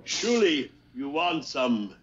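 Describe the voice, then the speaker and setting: Pushy coaxing trader, highish swoopy voice, old tv show. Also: male voice, very animated, slow pace, a bit bright, full character voice. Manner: salesman-like, coaxing. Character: wheedling, smooth-talking male trader. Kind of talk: 1960s television drama acting. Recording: dull old TV soundtrack.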